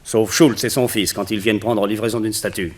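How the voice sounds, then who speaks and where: A cold, imperious master villain acted by a man in an old radio play. His low voice gives orders and puts questions with total authority over his victim. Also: fairly even intonation, a little fast, close to an everyday voice. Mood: imperious, menacing. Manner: imperious, interrogating, commanding. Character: cold domineering male villain, a hypnotist master giving orders. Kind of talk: 1960s French radio drama acting.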